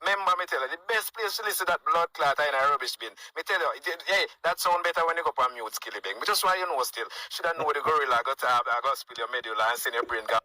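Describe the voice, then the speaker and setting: A man, in a live-stream clip, scolding in an animated way. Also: on the high side, quick pace, few pauses, no room sound.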